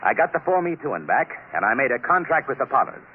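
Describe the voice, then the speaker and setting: A man's voice: medium pitch, sing-song, quick pace, lightly acted. A man playing a defiant young colonial agent. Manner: defiant, earnest. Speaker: narrator and lead. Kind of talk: 1950s radio drama acting. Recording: scratchy tinny old radio broadcast.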